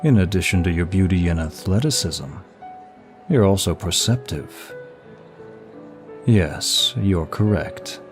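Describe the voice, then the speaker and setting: Man acting a deep, unhurried, seductive demon gentleman. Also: expressive. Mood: charming, confiding. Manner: smooth, flirtatious, formal, unhurried. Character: suave, seductive male demon of lust, courtly flatterer. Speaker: audio roleplay voice actor. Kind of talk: intimate ASMR-style roleplay, one side of a dialogue. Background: music.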